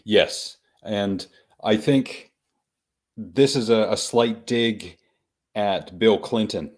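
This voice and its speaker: A man co-hosting a casual live-streamed podcast, talking in a measured, explanatory way with frequent hesitations. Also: fairly low pitch. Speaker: podcast co-host.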